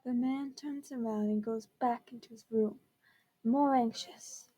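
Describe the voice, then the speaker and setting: Woman w a higher voice reading a story from a script. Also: steady tone, a little slow, dark tone, rough recording. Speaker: student reader.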